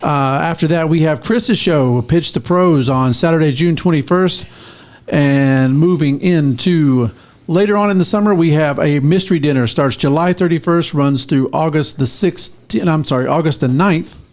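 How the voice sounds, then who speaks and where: A man's voice: fairly high pitch, normal speed, darkish tone. A man from a local arts center, running through upcoming events on a radio show.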